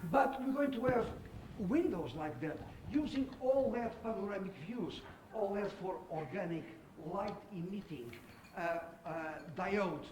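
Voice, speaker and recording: A middle-aged man talks through his slides at a conference. He speaks haltingly, full of "uh"s and restarts, and now and then quizzes the audience. A faint hum of room ambience sits under his voice. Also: high-pitched, lively intonation, darkish tone, low-quality recording. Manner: halting, many fillers and restarts, asks the audience questions. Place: conference hall.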